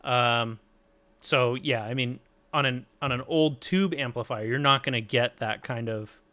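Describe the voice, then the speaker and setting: Bright-voiced man rambling about gear. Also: mid-range voice, measured pace. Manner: rambling, swears casually, explains at length. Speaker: streamer talking gear. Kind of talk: casual chat.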